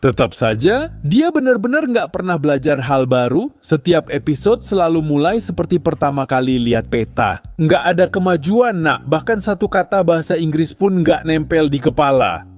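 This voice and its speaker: A man's voice: a little high, very animated, normal speed, soft background music. A man giving comic, sarcastic scripted narration with an exaggerated ranting delivery.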